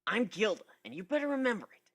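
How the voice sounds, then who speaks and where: A man's voice: high voice, big pitch swings, fast, a bit bright, voice pushed up, full character voice. A man voicing a brash, irritable young female griffin, snappy and scornful, in a dramatic story reading. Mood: brusque. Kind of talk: dramatic reading of fan fiction.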